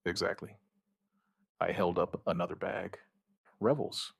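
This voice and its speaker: A man playing an outbreak survivor who gives a wry, weary witness account in an audio drama, in short, halting, understated phrases. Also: deep voice, fairly even intonation, vocal fry, husky, no room sound, clean studio sound, full character voice. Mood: wry, light. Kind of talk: scripted first-person audio drama narration.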